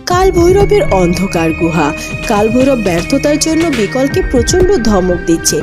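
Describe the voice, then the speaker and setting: A woman's voice: on the high side, big pitch swings, moderate pace, over music. A woman narrator reading scripted scene narration for a cartoon fairytale.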